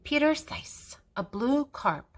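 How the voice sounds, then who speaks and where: Slow-paced older woman reading to children. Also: high-pitched. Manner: expressive, deliberate, emphatic on key words. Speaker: children's story reader and channel host. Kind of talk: read-aloud picture book narration for children.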